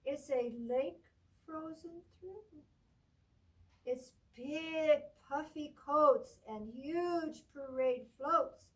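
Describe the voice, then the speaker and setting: A high-voiced woman reads a rhyming picture book to small children at a very unhurried pace. She coaxes her little listeners gently and lifts her voice on each rhyme, sounding a bit distant from the microphone. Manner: slow, sing-song read-aloud with rising emphasis on rhymes; gently coaxing, talks to young listeners. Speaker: children's librarian storyteller. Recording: voice distant from the mic.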